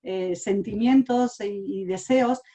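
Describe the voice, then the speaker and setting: A woman's voice: medium pitch, takes it slowly, dark tone. Hesitant woman in her forties. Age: 40s. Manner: hesitant, many fillers and restarts. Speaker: speaker. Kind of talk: online talk sharing personal experience. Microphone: online talk mic.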